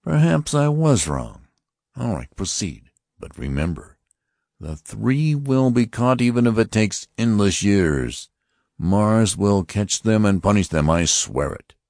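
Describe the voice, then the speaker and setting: A man voicing a stern male Martian officer who interrogates passengers in a slow, commanding, threatening way. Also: on the low side, lively intonation, a little mellow, dry recording, clean studio sound, pitched above the performer's own voice. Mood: menacing.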